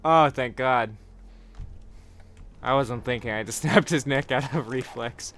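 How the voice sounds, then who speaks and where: A man's voice: mid-range voice, a little slow, fairly bright tone. Joking, swearing man over game music. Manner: joking, swears often, slips into silly put-on voices. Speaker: gameplay commentator. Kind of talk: casual gameplay commentary.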